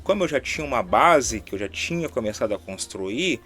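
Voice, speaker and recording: A man's voice: medium pitch, animated, brisk, a bit bright, soft background music. A middle-aged Brazilian man co-hosting in casual chat, speaking Brazilian Portuguese in an earnest, encouraging way. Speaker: co-host.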